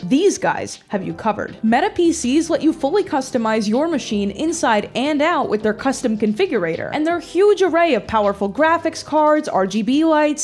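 High, animated young woman narrator. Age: young adult. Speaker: YouTuber host. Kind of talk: scripted video essay narration with wry humor. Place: small bedroom-style home studio. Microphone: close desk microphone. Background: background music.

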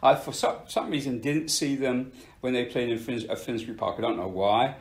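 A man interviewing a musician in casual chat, enthusiastic and full of quick interjections. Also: mid-range voice, roomy sound. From England.

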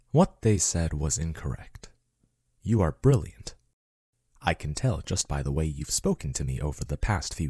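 A man's voice: low-pitched, dark tone, husky, dry recording, clean studio sound, heavily acted. A man playing a devoted male chatbot companion in an ASMR roleplay, soothing and earnest, affectionate and a little possessive. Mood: comforting, indignant.

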